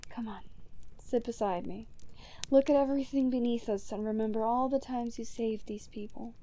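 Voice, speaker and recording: A woman playing a gleeful, chaos-loving female supervillain in an ASMR roleplay, teasing and breathy, often near a whisper. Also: fairly high pitch, dark tone. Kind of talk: ASMR roleplay monologue to the listener.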